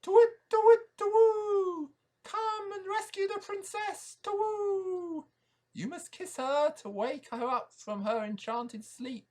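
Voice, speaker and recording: A man acts out a hooting owl from a fairy tale, pitched well above an everyday voice. It is an urgent, insistent herald, loudly sending brave knights on their quest. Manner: shrill drawn-out hoots, insistent instructions.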